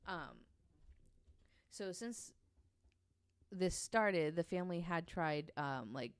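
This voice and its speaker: A woman's voice: lowish voice, expressive, slow pace, smooth, no echo. A woman telling a true story casually on a podcast, reading quotes aloud in an informal, hesitant way.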